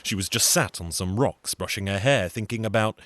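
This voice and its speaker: A man with a deep voice tells a folktale in a theatrical storytelling style. He slips into dry, wry asides, and the sound is close and dry, without room echo. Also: moderate pace, fairly bright tone.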